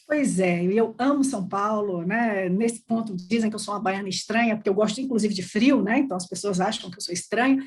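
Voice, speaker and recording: A woman's voice: medium pitch, fairly quick, hardly any pauses, breathy edge. A middle-aged Brazilian woman with a Bahian accent, talking effusively and discursively as a guest in a conversational interview. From Salvador, Bahia, Brazil. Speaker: podcast guest, corporate HR executive.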